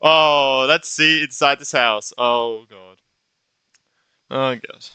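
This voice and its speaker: A young man streaming a game with casual reaction commentary, halting and often repeating his words and phrases. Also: medium pitch, fairly even intonation, pushed and bright, a little hiss or hum. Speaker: gaming streamer.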